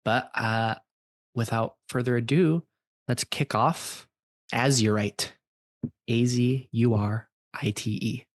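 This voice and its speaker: A man with a medium-pitched voice chats on a podcast in a dry, close recording. His delivery is halting and deadpan, with tongue-in-cheek self-importance. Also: no echo. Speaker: podcast co-host and playwright. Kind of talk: tongue-in-cheek casual chat.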